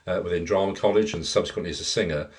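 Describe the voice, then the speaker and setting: A man's voice: low-pitched, racing. A middle-aged man with a rich voice, reminiscing as a guest in an interview. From Wales. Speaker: interview guest, theatre practitioner and teacher.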